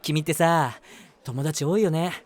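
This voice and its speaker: A man's voice: a little high, measured pace, close to an everyday voice. A man voicing an eager, easily flustered young man in love, chatty and self-deprecating, in a romantic audio drama. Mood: giddy, eager. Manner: chatty, self-deprecating, earnest, hesitant asides. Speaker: voice actor.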